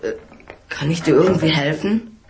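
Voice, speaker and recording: An actor playing an anxious, earnest human schoolboy in a radio play, hesitant and prone to stammering. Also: male voice, a little high, moderate pace, darkish tone, natural voice. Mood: incredulous. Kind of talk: German radio play acting.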